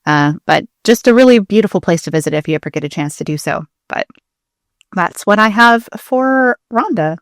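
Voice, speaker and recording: A woman's voice: medium pitch, animated, normal speed, dry recording, studio quality. A woman co-hosting a podcast, explaining things in a casual, chatty, informal way with interest in the details. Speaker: podcast co-host.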